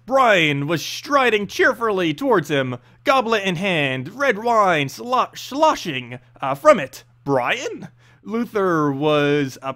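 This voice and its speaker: A man narrating a fantasy story in an expressive, dramatic storyteller's manner. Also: high-pitched. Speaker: narrator reading game lore.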